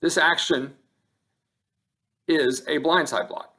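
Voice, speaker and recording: A man giving scripted instructional narration over game film like an officiating instructor, in measured, matter-of-fact short phrases. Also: a little high, sharp tone.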